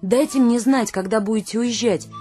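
A woman's voice: on the high side, moderate pace, dark tone, faint music behind, close to an everyday voice. An actress playing a proud, frank young village woman, content and loving, in a radio play. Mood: warm, plain.

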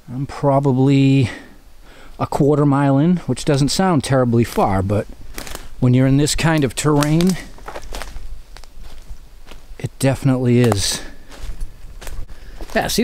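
A man in his forties talks his way up a wooded mountain, musing with quiet curiosity about what he finds along the way. The voice sits at a middling pitch and is picked up by a camera worn on his body outdoors, with a faint hiss of outdoor noise underneath. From New England. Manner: musing, curious, thinking aloud as he walks. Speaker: hiking vlogger. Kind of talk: casual walking narration to camera. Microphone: body-worn action camera.

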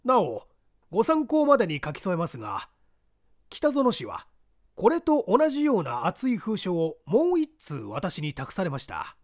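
A man's voice: sits high, huge pitch swings, a little slow, voice pushed up, full character voice. A man voicing an earnest, formal male letter writer in a mystery audiobook, polite, solemn and emphatic.

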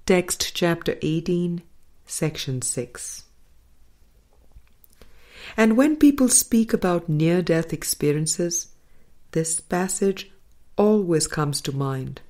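A woman reading a spiritual book aloud in a measured, deliberate manner, pausing between phrases. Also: on the low side, lively intonation, darkish tone. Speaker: audiobook reader.

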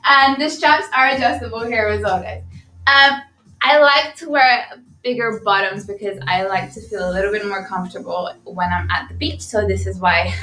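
A woman's voice: medium pitch, bright, forceful tone, distant mic, slight background noise. A British woman in her twenties, chatting informally as she presents products on a live stream. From London, England. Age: 20s. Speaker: live shopping co-host and model. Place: indoor studio set. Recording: echoey room, off-mic, music.

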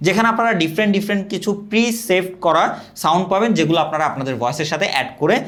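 A young man from Bangladesh giving an energetic, scripted product review and sales pitch. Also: rapid-fire.

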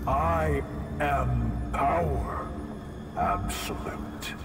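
An imperious demon king from a video game. The words drag out with enormous slowness and a creaking growl, merciless and pompous, while loud music swells behind. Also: mid-range voice, sing-song, full character voice. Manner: slow, menacing, pompous pronouncements. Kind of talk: video game cutscene acting.

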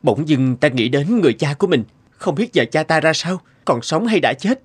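A man playing an earnest young merchant's son in an animated fairy tale, speaking expressively. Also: on the high side, normal speed, slightly rough. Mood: wistful. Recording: music behind the voice.

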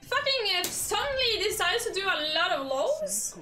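A young woman live-streaming a game, reacting in an excitable, exasperated, sweary way. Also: very high-pitched, moderate pace, reverberant, distant mic, faint music behind. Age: young adult. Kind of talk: live gaming reaction chat. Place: indoor gaming setup on a livestream.

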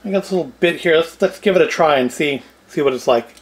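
A man's voice: fairly high pitch, fast talker. A middle-aged man giving casual cooking and food review commentary with dry, self-deprecating humour. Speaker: video host. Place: indoors at home, kitchen and tabletop set.

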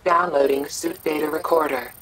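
A woman voicing a calm, impersonal computer assistant in a video game, narrating in a measured, clinical way. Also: low voice, heavy background noise, close to an everyday voice. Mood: impersonal, informative.

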